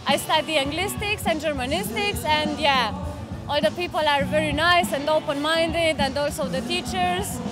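A woman's voice: sits high, normal speed. A woman in her twenties with a Romanian accent giving an exchange student testimonial. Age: 20s. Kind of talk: on-camera testimonial. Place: outdoors on campus lawn. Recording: loud music behind outdoor speech.

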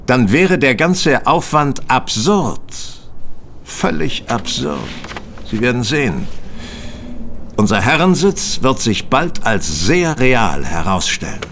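A man's voice: mid-range voice, fairly bright tone, a little hiss or hum. An actor playing a confident, methodical male detective in an audio drama, lecturing with precise articulation.

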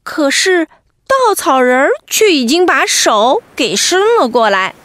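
A woman storyteller narrating an expressive bedtime story for children, animated and speaking to them directly. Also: sits high, unhurried.

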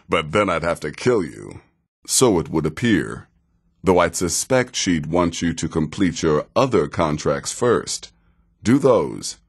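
A man playing a wry, sardonic middle-aged assassin in a fantasy video game, speaking in a dry, measured, sarcastic way. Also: low voice, heavily acted. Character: wry, sardonic veteran male assassin handing out contracts.

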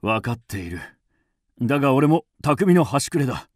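Man acting earnest swordsmith, animated mid-pitched voice. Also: normal speed, dry recording, studio quality. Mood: obsessed, weary. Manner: earnest, intense. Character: loyal, driven master swordsmith. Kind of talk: radio drama acting.

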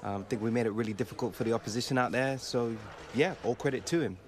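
A man's voice: mid-range voice, quick pace, crowd noise, lightly acted. A young man with an English accent playing a modest, earnest footballer in a game cutscene. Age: young adult. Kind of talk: video game cutscene acting.

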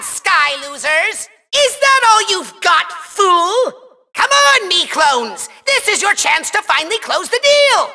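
A man voicing a petty, boastful, tantrum-prone villain overlord in a video game, theatrical, taunting and whiny, with a shrill nasal voice. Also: vocal fry, sharp tone, exaggerated voice. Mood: gloating. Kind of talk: over-the-top video game villain acting.

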